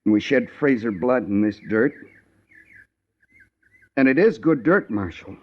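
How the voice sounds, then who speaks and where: A man's voice: mid-range voice, lively intonation, measured pace. A man playing an earnest, homespun American frontier settler in a 1950s radio western. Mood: solemn, content. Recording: muffled old radio broadcast.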